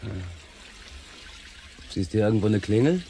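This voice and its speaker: An actor playing an impatient, bossy husband in a German crime radio drama, agitated and complaining. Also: male voice, lowish voice, expressive, normal speed, dark tone, a little hiss or hum. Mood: curious, hushed. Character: excitable, impatient, nagging husband in the passenger seat.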